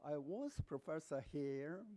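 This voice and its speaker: An elderly retired professor giving a welcome address in halting, broken English with pauses. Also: male voice, fairly high pitch, expressive, mellow. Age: older. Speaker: professor emeritus. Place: university auditorium.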